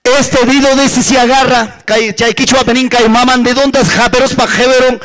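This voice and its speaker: High-pitched man preaching with dramatic storytelling. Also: little pitch movement, moderate pace, a bit bright. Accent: Andean Spanish. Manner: dramatic, repetitive, with rhetorical questions to listeners. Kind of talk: fervent storytelling sermon to a congregation.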